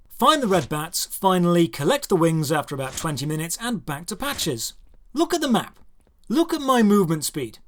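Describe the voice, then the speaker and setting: A man narrating a scripted video game review in a dry, sarcastic way, with touches of theatrical emphasis. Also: high-pitched.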